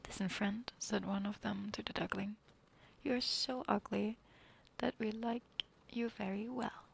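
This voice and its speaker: A woman reading a fairy tale aloud in a soft whisper, pausing now and then to apologize. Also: mid-range voice, fairly even intonation, gravelly, husky. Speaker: reader.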